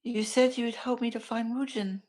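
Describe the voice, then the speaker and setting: A woman's voice: fairly high pitch, steady tone, normal speed, darkish tone, close to an everyday voice. A woman playing a determined, hurt boy in a screenplay table read. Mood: accusing, hurt.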